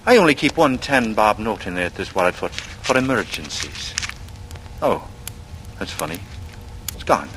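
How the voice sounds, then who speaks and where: An actor in a vintage radio drama playing a vain, sly young charmer with an ingratiating, needling manner. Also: male voice, on the low side, sing-song, normal speed, pauses often. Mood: casual, pointed. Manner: ingratiating, needling, insinuating, colloquial. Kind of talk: 1940s radio acting. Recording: noisy vintage radio recording.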